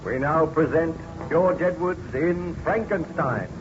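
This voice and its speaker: Male 1930s radio announcer, mid-pitched scripted narration over prominent music, dull vintage recording. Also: slow pace. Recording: muffled old radio broadcast recording. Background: loud music.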